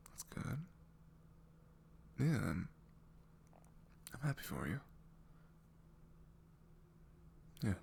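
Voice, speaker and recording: Man acting a deep, gravelly, hesitant ex-boyfriend. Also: very animated, darkish tone, a little hiss or hum, heavily acted. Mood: awkward, polite. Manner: hesitant, halting, trailing off. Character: awkward apologetic young ex-boyfriend, a college student. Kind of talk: intimate ASMR audio roleplay.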